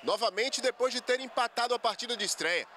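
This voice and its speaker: A man's voice: extremely high pitch, brisk, bright, forceful tone, slight background noise. A young man with a Brazilian accent reporting in a scripted piece to camera at a stadium. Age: young adult. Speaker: sports reporter. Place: large football stadium. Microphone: handheld microphone.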